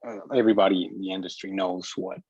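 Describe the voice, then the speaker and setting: A man with a fairly low voice, recorded dry and close. He talks in a casual group discussion as a filmmaker, stating opinions firmly while stumbling over and repeating words. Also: fast talker, vocal fry, a little mellow, slightly rough, dry recording, highs cut off.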